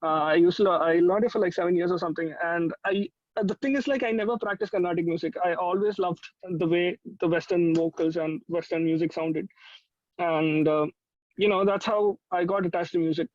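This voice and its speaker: A man's voice: sits high, a little fast, dry recording, lo-fi sound. A young man with an Indian accent chatting casually as a musician guest, rambling and enthusiastic as he reminisces. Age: young adult.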